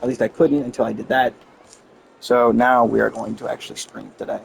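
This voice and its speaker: A man's voice: medium pitch, flat delivery, a little fast, gravelly, slight background noise, rough recording. A man chatting casually on a game livestream, rambling and cutting himself off with mildly exasperated, slangy grumbling. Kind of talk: casual rambling stream chat.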